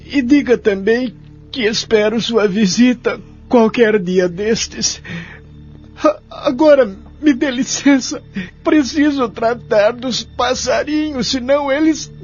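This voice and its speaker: An actor playing a lonely, sorrowful elderly care-home resident in an audio drama, speaking in a measured, plaintive way. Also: male voice, very high-pitched, full character voice. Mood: shaken, stubborn. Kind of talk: audio drama narration and dialogue. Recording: dull lo-fi audio with music behind.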